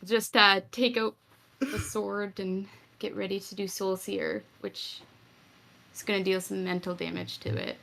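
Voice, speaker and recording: A woman's voice: mid-range voice, steady tone, unhurried, a bit bright. A woman chatting casually as a player in a tabletop game, deciding her moves a little tentatively. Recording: clearly noisy mic with clicks.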